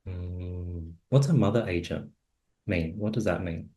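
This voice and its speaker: A man's voice: low-pitched, a little slow, dark tone, soft background music. A man hosting a casual conversational interview, asking short, informal questions. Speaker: podcast host, interviewer.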